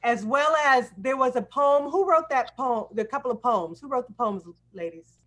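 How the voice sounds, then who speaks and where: High-voiced woman hosting an online class. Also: animated, moderate pace, fairly bright tone. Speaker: acting teacher hosting an online class showcase. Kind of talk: casual video-call discussion. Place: video call.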